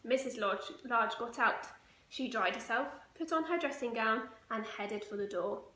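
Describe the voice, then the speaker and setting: A woman's voice: a little high, measured pace, sharp tone. A woman storyteller reading a children's picture book aloud. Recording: echoey room, faint noise.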